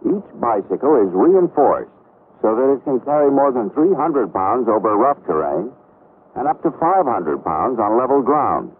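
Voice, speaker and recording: An American man reading a scripted 1960s military training film narration in a formal, authoritative, measured way. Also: medium pitch, sing-song. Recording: muffled, noisy vintage film soundtrack.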